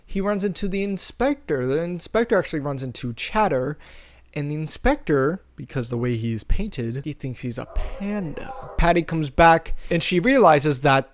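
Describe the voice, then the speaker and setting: Young male YouTube commentator, slightly high voice, casual and irreverent comedic recapping. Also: animated, moderate pace. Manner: irreverent, sarcastic, acts out characters' lines.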